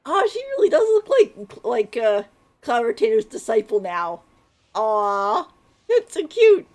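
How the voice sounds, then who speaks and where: A woman's voice: fairly high pitch, big pitch swings, unhurried, a little mellow. A woman streaming a game with casual live commentary, informal and gushing as she reacts.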